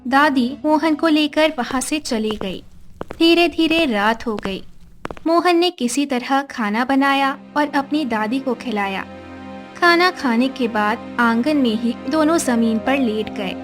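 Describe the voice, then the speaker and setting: A woman narrating a cartoon story in a steady storytelling manner. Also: high-pitched, slow, soft background music.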